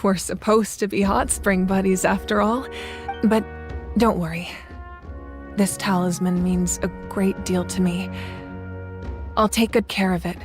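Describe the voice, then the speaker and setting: A woman's voice: medium pitch, fairly even intonation, lightly acted. Woman acting composed, commanding female leader, music behind. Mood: wistful. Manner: measured, dignified. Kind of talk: anime video game voice acting. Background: game music.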